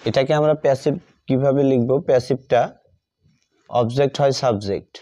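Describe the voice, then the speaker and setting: A man's voice: mid-range voice, moderate pace, warm tone. A man teaching a grammar lesson step by step, dictating word by word and repeating himself. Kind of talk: step-by-step lesson, writing out examples. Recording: dull lo-fi sound.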